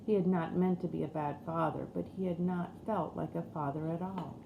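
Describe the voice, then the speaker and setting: A woman's voice: fairly low pitch, steady tone, a little fast, creaky, narrow, tinny audio. A woman reading a storybook aloud as scripted narration. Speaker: audiobook reader. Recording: roomy echo with faint background noise.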